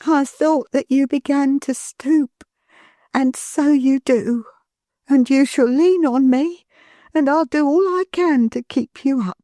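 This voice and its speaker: Loving mum and wife, a woman reading her, pitched way up, tender and earnest, slow and soft. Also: dry recording, studio quality. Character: fond devoted wife, a working-class mother.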